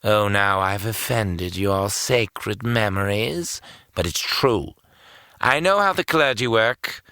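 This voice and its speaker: A man's voice: fairly low pitch, expressive, takes it slowly, sharp tone, smooth, no room sound, clean studio sound, heavily acted. An actor playing a sneering, cynical male villain in an audio drama, with a mocking, condescending, theatrical manner. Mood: mocking contempt.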